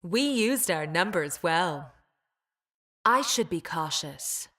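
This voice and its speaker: A coldly logical android scientist from a video game. Her female voice sits on the low side, and she speaks in formal, exact phrases. She is detached and clinical, a former healer with a ruthless streak. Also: animated, slow, a bit bright. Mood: calm, calculating. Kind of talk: video game character voice lines.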